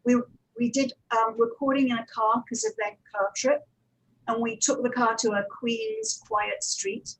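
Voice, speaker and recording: A woman's voice: on the high side, animated, a little slow, husky, poor audio. A woman giving an informal panel presentation as an audio producer, talking conversationally with anecdotes and digressive asides.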